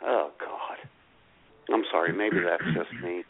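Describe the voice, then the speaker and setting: A man co-hosting an internet radio show in casual comic banter, with a husky voice. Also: low voice, measured pace. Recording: narrow phone-like radio sound.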